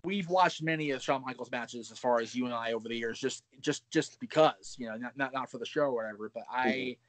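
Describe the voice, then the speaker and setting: A man's voice: medium pitch, brisk, no echo. A man in his thirties hosting a casual wrestling chat over a video call, talking in a chatty, self-deprecating way full of fillers and restarts. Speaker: podcast host. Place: home room with brick wall, indoors. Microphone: webcam video call.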